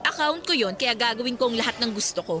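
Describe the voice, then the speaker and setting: High, bright-voiced fed-up college girl. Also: animated, quick pace. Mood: firm, exasperated. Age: young adult. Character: young female college student, fed up with controlling ex. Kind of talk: Tagalog radio drama acting.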